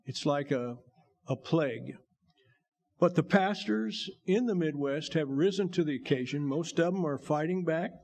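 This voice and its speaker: Older man with a gravelly voice. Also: on the high side, moderate pace, a little mellow. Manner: folksy, joking, encouraging. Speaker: bishop giving testimony. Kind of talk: church testimony talk. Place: church sanctuary.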